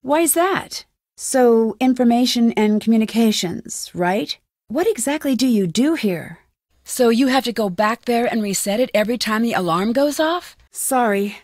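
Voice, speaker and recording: Young American woman sleuth for a game: inquisitive, determined, earnest, slightly high pitch, very dry sound. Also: darkish tone, no room sound. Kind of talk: video game voice acting, isolated dialogue lines.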